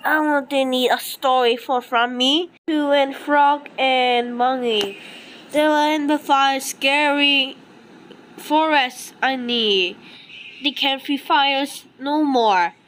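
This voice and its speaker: High, slow female voice over faint noise. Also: fairly bright tone, rough recording. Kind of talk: amateur animated story dialogue.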